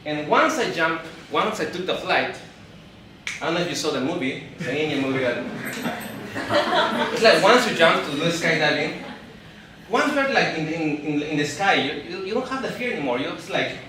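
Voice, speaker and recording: A Peruvian man in his thirties gives a talk on stage, his English carrying a Spanish accent. His voice is a little high and rings around a big echoey hall. He sounds some way off the mic and often stumbles, repeats and restarts his words. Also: animated, far from the mic, background noise. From Peru. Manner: halting, repeats and restarts words, laughs along with the crowd. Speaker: event speaker. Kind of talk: live stage talk to an audience. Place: event hall.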